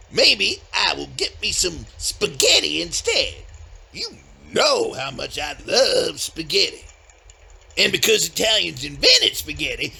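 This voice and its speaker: Bossy Southern hillbilly brother, slow drawl, wildly swooping pitch, scolding, comedy podcast drama. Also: male voice, a little hiss or hum, full character voice. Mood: eager, boastful. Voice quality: raspy, strained. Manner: folksy, loud, scolding, ungrammatical drawl. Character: bossy, dim-witted backwoods country older brother monster hunter. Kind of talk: audio comedy-horror acting.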